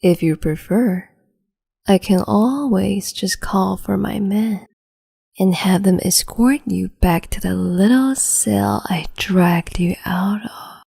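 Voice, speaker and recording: A woman voicing a cruel, capricious empress in a whispered roleplay, slow, mocking and condescending, close to the mic. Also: medium pitch, mellow, full character voice. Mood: silky menace. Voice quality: whispery, breathy.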